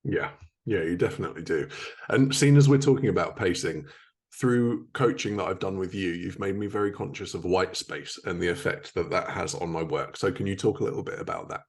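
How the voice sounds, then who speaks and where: A man's voice: deep voice, fairly quick. A man hosting a podcast, talking in a conversational interviewing style. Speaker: podcast host.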